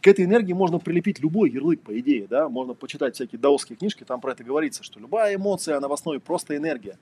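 A man's voice: on the high side, lively intonation, racing, warm tone, husky. A man giving a casual lecture, talking conversationally with lots of fillers and rhetorical questions.

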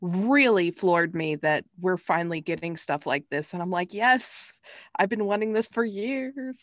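A woman's voice: fairly low pitch, expressive, a little slow, a bit bright, dry recording. Woman podcast co-host, close dry sound. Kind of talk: casual podcast review chat.

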